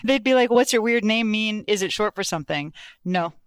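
An animated woman author tells stories in a relaxed interview, laughing often and jumping into quoted voices. Her tone is smooth and clean, recorded close and dry with no room sound. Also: medium pitch, a little fast, no echo. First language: Lithuanian. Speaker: guest author. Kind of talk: casual interview conversation.

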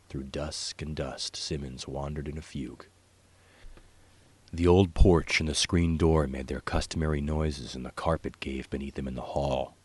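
A man narrating a literary short story in a measured, hushed manner that drops toward whispers. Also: rumbling low, slightly rough. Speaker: author reading own short story.

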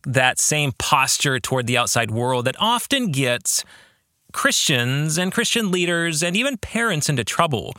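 Bright-voiced man, slow and very animated. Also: medium pitch, no echo, studio quality. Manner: rhetorical questions and tag questions to listeners. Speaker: podcast host and author. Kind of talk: reflective book-club podcast monologue on faith.

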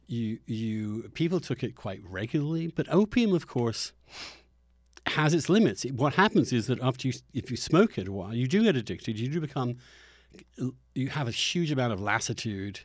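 A man's voice: medium pitch, lively intonation, normal speed, dry recording, clean studio sound. A middle-aged man hosting a conversational podcast interview, giving opinions emphatically and often restarting his phrases. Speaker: podcast host.

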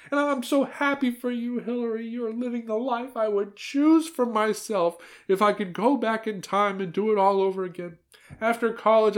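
Man puts on an elderly grandma, way up in falsetto, fervent prayer delivery. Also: lightly acted. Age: older.

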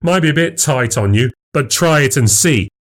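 A man's voice: mid-range voice, expressive, normal speed, voice pushed up, natural voice. A man voicing a jealous, sarcastic husband in audiobook dialogue, goading and sneering.

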